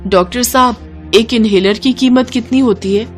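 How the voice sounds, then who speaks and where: A woman's voice: a little high, moderate pace, faint music behind, voice pushed up, lightly acted. A woman voicing a patient, hard-working young village woman in a cartoon, eager and pleading. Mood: desperate. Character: patient poor young woman running a roadside eatery.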